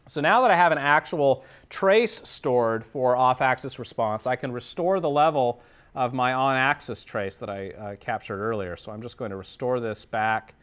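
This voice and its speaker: A man giving a hands-on demonstration lecture as an instructor, explaining things methodically, step by step. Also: medium pitch. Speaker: audio engineering instructor.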